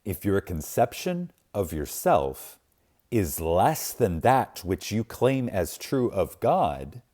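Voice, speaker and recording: Slow, solemn man reading scripture-like text. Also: on the low side, very animated, dark tone. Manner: solemn, emphatic, with deliberate pauses. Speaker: reader of a spiritual book. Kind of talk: reverent scripted reading, audiobook-like.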